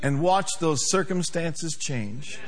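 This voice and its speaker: A man's voice: a little high, animated, slow pace. A male pastor preaching a sermon to a congregation, emphatic, with call-and-response.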